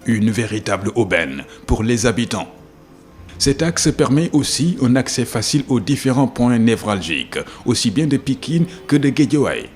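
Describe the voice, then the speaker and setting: A man's voice: mid-range voice, sing-song, moderate pace, faint music behind. A man reading a scripted documentary narration.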